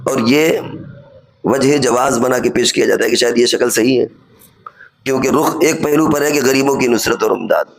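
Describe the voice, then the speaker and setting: A man's voice: mid-range voice, moderate pace, creaky, poor audio. Man preaching a didactic religious sermon. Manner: didactic, reasoning step by step with examples and rhetorical questions. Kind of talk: sermon, explanatory religious lecture.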